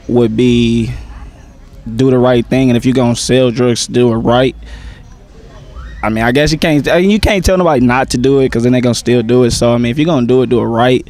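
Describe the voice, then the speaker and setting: A young man, a stage actor, answering interview questions in a relaxed, slangy way with many pauses and "um"s. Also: medium pitch. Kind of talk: casual interview chat.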